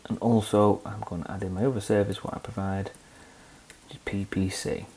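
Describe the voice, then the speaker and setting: A young man hosting a screen-recorded software tutorial, calmly walking through each click step by step. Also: lowish voice, moderate pace, a little hiss or hum. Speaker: tutorial host.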